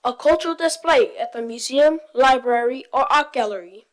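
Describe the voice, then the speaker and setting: A woman's voice: high-pitched, moderate pace. A young woman from Tonga reading a prepared presentation as part of a youth group.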